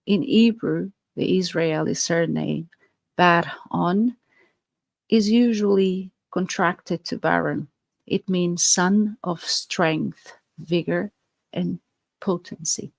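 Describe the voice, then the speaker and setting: A woman's voice: fairly low pitch, slow, creaky, no room sound. A woman with a Portuguese accent, Portuguese her first language, hosting a podcast and explaining earnestly with some fillers and restarts. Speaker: podcast host. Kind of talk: podcast monologue.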